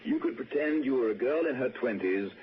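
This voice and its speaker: A man playing a genteel father and confidant in an old radio drama. He speaks formally and deliberately, with kindly probing and a lively melodic range. The sound is dull, with faint noise. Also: mid-range voice, close to an everyday voice. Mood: gentle, probing. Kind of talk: 1960s radio suspense acting.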